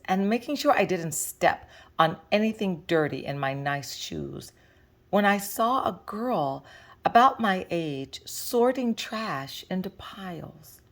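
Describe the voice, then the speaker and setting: Slow, low-pitched woman reading a story. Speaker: librarian reading a picture book aloud. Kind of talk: read-aloud storytelling for children.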